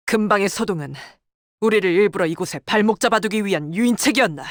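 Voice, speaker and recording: A woman performs a proud female tactician from a video game, stern and commanding. Her words come clipped and formal, with soldierly discipline at a middling pitch. The sound is close and very dry. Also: dry recording, natural voice. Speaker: game character voice. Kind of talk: dramatic scripted game dialogue. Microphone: close, dry studio microphone.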